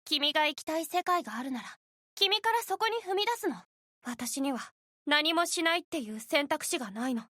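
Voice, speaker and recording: A woman's voice: high-pitched, lively intonation, rapid-fire, bright, forceful tone, no echo, studio quality. An actress voicing a caring, determined young woman, a game heroine, in scripted character lines. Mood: earnest, determined. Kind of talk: Japanese character voice acting, scripted voice prompts.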